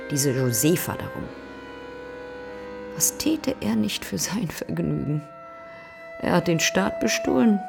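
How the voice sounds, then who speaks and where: A woman playing a bitter, scheming spinster cousin in a radio drama, sardonic and insinuating, with a gravelly voice. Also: on the low side, heavily acted. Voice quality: gravelly, creaky. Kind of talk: German radio drama acting.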